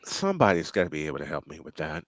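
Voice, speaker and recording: A man's voice: very deep voice, wildly swooping pitch, rapid-fire, no room sound. A man doing live play-by-play commentary on a football game, chatty and thinking aloud between plays.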